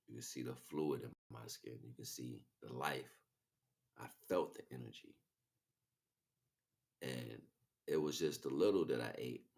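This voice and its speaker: A man's voice: rumbling low, vocal fry, a little mellow. A man livestreaming a casual, rambling spiritual monologue in street slang, often checking in with rhetorical questions. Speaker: livestream host.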